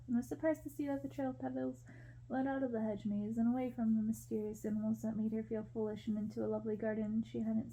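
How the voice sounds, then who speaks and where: A woman reading a story aloud in a gentle, measured ASMR style. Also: fairly high pitch, creaky, a little hiss or hum, poor audio.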